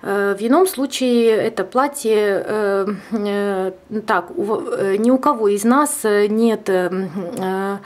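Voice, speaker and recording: Female crochet tutor, Czech accent, halting delivery with frequent "eh" fillers. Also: mid-range voice. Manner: hesitant, many filler sounds. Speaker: crochet teacher. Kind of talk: tutorial explanation. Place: indoors at a table.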